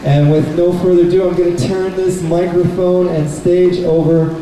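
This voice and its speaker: A man telling a children's story live to an audience, in simple, deliberate phrases. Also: high-pitched, flat delivery, warm tone. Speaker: puppet show storyteller and presenter. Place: indoor bookstore event. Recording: very echoey, noisy hall, distant microphone.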